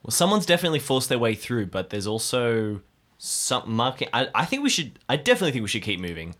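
A man's voice: medium pitch, big pitch swings, quick pace, fairly bright tone. A man playing in an improvised tabletop game, bantering casually and describing what his character does. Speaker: player in an audio drama game.

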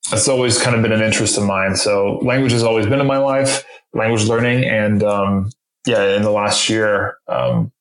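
Halting man with a roomy echo. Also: on the low side. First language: French. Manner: halting, lots of fillers and restarts. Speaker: interview guest. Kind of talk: casual interview conversation.

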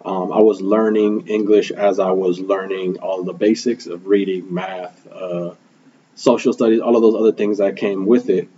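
A young man from Milwaukee, a native Spanish speaker, talks earnestly in a lowish, mellow voice. He reflects as he goes, with plenty of ums and little "right?" check-ins. The recording is a bit muffled, with a faint hum underneath. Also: level tone, normal speed. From Milwaukee, Wisconsin, USA. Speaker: guest, school program manager. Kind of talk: conversational interview answers.

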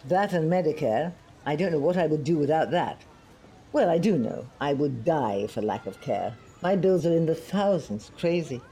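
A woman's voice: low voice, animated, moderate pace, warm tone, slightly rough, full character voice. A woman playing a pious, serene elderly friend in an audio play, sweetly polite with dry retorts. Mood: earnest. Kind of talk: scripted two-hander audio comedy-drama.

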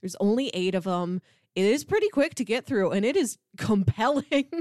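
A woman's voice: medium pitch, lively intonation, moderate pace, mellow, no echo, clean studio sound. A woman co-hosting a podcast, reviewing television in a casual, explanatory conversational way. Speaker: podcast co-host.

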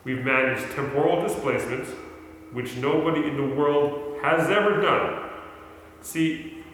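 An actor playing a skeptical, wry researcher in a 1940s radio play, with a theatrical, questioning delivery. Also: male voice, a little high, unhurried, fairly bright tone. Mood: concerned, reasoning. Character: Paul, skeptical wry male researcher, teasing friend. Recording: very echoey hall, background noise.

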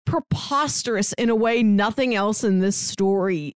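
A woman's voice: mid-range voice, measured pace, smooth, no room sound, clean studio sound. A woman co-hosting a podcast, discussing books casually with wry emphasis. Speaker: podcast co-host.

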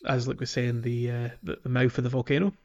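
A man's voice: mid-range voice, little pitch movement, fast talker. A man hosting a podcast, chatting casually about games in a jokey way, prone to chuckling. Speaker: podcast host. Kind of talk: casual game discussion chat. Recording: slightly muffled, music underneath. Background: background music.

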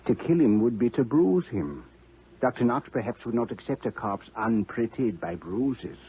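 An actor playing a sly, leering body-snatcher in a drawling, theatrical 1950s radio style. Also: male voice, medium pitch, big pitch swings, moderate pace. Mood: sly, ghoulish. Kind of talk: 1950s radio drama acting. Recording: tinny, noisy old 1950s radio broadcast.

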